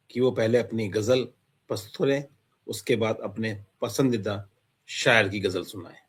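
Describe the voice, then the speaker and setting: Male literary show host. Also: mid-range voice. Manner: interjects frequent praise. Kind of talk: formal introduction and warm praise.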